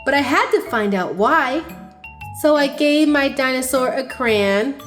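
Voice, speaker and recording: Brief: young woman, slow expressive storybook reader, high lively voice, soft music behind. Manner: expressive, sing-song. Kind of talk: read-aloud storytelling for children.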